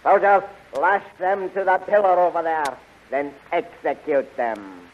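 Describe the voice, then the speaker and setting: An actor playing a merciless, imperious alien emperor in 1930s radio serial style, formal and menacing. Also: male voice, a little high, unhurried, close to an everyday voice. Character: merciless gloating tyrant emperor of an alien planet. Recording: hissy, muffled 1930s radio recording.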